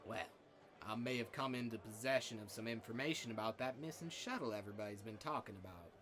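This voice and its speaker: An actor playing a sly, folksy scavenger, coy and conversational, in a space-western film. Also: male voice, fairly low pitch, fast, fairly bright tone, natural voice. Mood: confiding. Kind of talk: naturalistic film dialogue.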